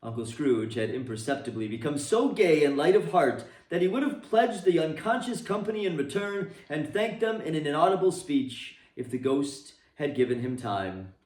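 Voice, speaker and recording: A man giving an expressive, dramatic storybook reading of a classic tale for a library, recorded in a roomy space from a distance. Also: a little high, normal speed, hardly any pauses. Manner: expressive, swooping phrasing. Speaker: reader for a library.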